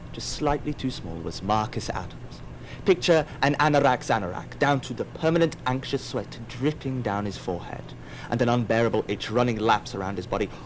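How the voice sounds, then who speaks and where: A man narrates an audio drama in a middle-pitched voice. His delivery is wry and theatrical, with faint background noise beneath it. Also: rough recording.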